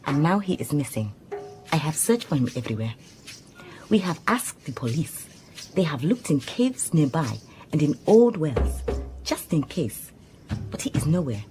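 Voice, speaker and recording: An actress playing an earnest, deferential secretary in a radio drama, formal and careful, with a Southern African accent. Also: female voice, deep voice, background noise. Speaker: supporting actor in a radio drama.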